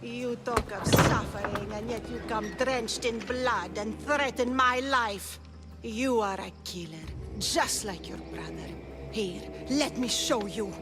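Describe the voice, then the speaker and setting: A woman's voice: on the high side, slow, over loud music. A woman playing a cruel, gloating cult priestess in a video game, with a menacing, theatrical delivery. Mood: scornful, defiant. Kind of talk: dramatic video game voice acting.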